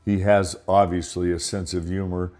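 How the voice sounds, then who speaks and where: A man's voice: deep voice, little pitch movement, moderate pace, darkish tone. An older man from Los Angeles hosting a show, talking in a loose, rambling monologue full of restarts and asides. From Los Angeles, United States. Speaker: podcast host. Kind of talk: casual monologue.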